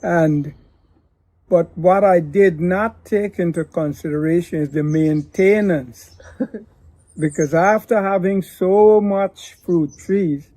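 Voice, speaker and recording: Give me an older man, slow and deliberate, dark tone, recorded dry. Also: high voice, no echo. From Guyana. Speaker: pastor and father of the host. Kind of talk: reflective family interview.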